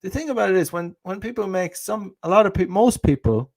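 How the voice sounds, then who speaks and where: A man's voice: high-pitched, lively intonation, a little fast, gravelly, a little mellow. Rambling Irish man, swearing freely. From Ireland. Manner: rambling, profane, many restarts. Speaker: podcast host. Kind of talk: casual livestream monologue.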